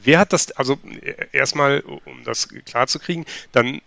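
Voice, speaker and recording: A man's voice: medium pitch, normal speed, creaky, bright, forceful tone, dry recording. A man hosting a casual podcast chat, asking questions and recapping to check that he understands.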